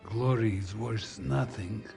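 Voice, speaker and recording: An older Russian father in a game cutscene. His voice is gravelly and his delivery slow and deliberate, with a Russian accent. He is loving and protective, with music playing behind him. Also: fairly low pitch. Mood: earnest, protective. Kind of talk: acted video game cutscene.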